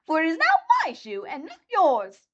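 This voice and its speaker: A woman voicing a cunning, cruel wicked old witch with a shrill, wailing, shrieking voice. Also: very animated, moderate pace, lo-fi sound. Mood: gloating.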